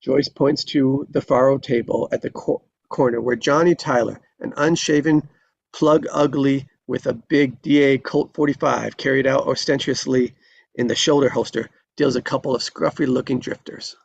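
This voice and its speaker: Gravelly man narrating a screenplay. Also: medium pitch, steady tone, normal speed, dull audio. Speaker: stage-direction narrator. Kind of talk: scripted narration, live screenplay table read.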